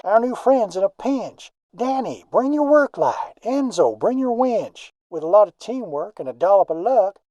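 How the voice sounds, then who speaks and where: A man's voice: high voice, sing-song, moderate pace, hardly any pauses, dark tone, no room sound, voice pushed up, poor audio. A man voicing a sensible, stern male work truck who scolds firmly in a rhyming storybook. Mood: urgent. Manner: firm, scolding, rhyming lines.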